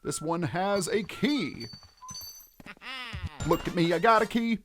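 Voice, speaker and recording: A gaming video host giving chatty, expressive let's play commentary and explaining things clearly as they go. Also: mid-range voice, slow pace. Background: game music.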